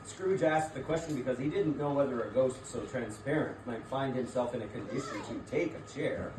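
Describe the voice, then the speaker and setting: A man narrates a scripted tale in a mid-range voice, reading it as theatre. He sounds distant from the microphone, in an echoey room with clear background noise. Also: medium pitch, moderate pace.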